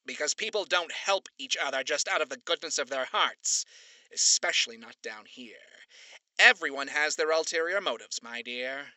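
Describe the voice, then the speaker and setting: A man's voice: mid-range voice, lively intonation, normal speed, pushed and bright, dry recording, low-quality recording. A man voicing a sly, mocking male radio demon who speaks with a theatrical, condescending drawl.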